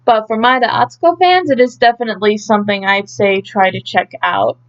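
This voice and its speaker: A woman's voice: mid-range voice, a little fast. A woman hosting an idol news update as a casual recap, chatty and a bit hesitant as she thinks aloud, on a slightly dull home recording.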